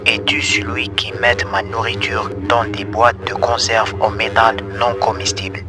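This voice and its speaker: Analog horror creature, male actor: voracious monster hedgehog, lowish, strange and menacing, buried in very loud music. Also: level tone, normal speed, natural voice. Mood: cold menace. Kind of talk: analog horror animation monologue.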